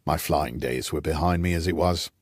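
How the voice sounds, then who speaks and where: A man voicing an aging, gruff Rhodesian patriarch, a wry and nostalgic old scout, in an audiobook. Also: very deep voice, normal speed, no room sound, clean studio sound, pitched below the performer's own voice. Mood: wry reminiscing. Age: older.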